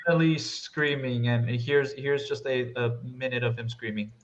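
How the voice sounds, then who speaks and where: A man's voice: mid-range voice, steady tone, normal speed, very noisy. A man chatting casually as a podcast host reviewing films, on a dull lo-fi recording. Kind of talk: casual film review chat. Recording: dull lo-fi sound.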